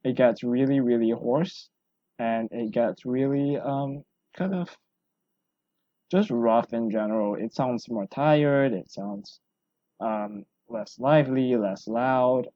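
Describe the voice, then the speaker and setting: A man hosting a solo podcast, rambling and self-deprecating, his voice hoarse from talking a long time. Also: mid-range voice, takes it slowly, warm tone, no echo, lo-fi sound. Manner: rambling, self-deprecating, full of fillers and restarts. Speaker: podcast host.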